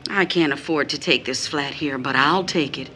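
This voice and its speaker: A woman's voice: rumbling low, normal speed, pushed and bright, faint music behind. A woman playing a bitter, disillusioned mother in an audio drama, emphatic and grave. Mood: weary, bitter. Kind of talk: audio drama stage-style acting.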